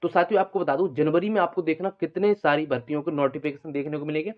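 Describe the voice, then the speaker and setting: A man speaks quickly in a fairly high voice, hosting an informal update for exam aspirants. He explains without a script, circling back over his points and often tagging sentences with a quick check-in. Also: steady tone, phone-quality sound. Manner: repetitive, explanatory, frequent check-in tags. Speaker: exam-news video host, coaching aspirants.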